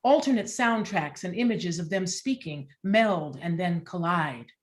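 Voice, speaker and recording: A woman reading stage directions as scripted narration in a measured, descriptive way. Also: lowish voice, expressive.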